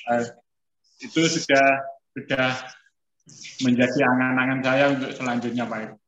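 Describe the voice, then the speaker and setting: A man's voice: mid-range voice, little pitch movement, moderate pace, a bit bright, a little hiss or hum. Grateful man on a roomy, dull call. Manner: grateful, formal, hesitant. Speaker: program participant giving a testimonial. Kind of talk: testimonial on a video call.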